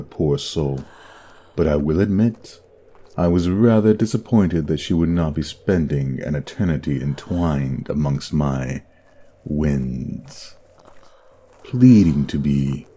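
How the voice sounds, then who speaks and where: A man's voice: rumbling low, mellow, slightly rough, heavily acted. A man playing a lustful, teasing demon prince of hell in an audio roleplay, with a drawling, flirtatious delivery.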